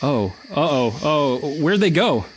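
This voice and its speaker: A man playing an excitable, geeky adventurer in a comedy audio drama, chatty and enthusiastic. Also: fairly high pitch, slow, a little hiss or hum. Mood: fannish.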